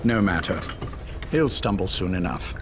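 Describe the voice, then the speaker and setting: Over a loud engine-like rumble, an older spymaster from a dramatic video game. His voice sits fairly low. He lectures with cold, formal assurance, measured and visionary. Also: lively intonation. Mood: guarded, brisk. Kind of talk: scripted dramatic game dialogue.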